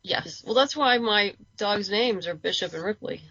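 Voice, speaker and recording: A woman's voice: medium pitch, expressive, normal speed, bright, forceful tone, slightly rough, dry recording, lo-fi sound. A woman chatting casually and informally as a guest on a podcast. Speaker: interview guest, comic and audio drama creator.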